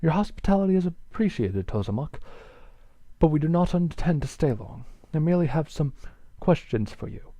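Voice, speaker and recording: A man voicing a regal female pony princess in a story reading, cool, formal and commanding with clear, steely diction. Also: mid-range voice, animated, moderate pace, hardly any pauses, mellow, no echo, muffled recording, pitched above the performer's own voice, close to an everyday voice. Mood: steely, polite.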